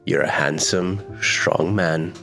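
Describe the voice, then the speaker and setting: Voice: sits low, slow, vocal fry, fairly bright tone, soft background music. A tabletop roleplaying player bantering casually and speaking in character to the rest of the party.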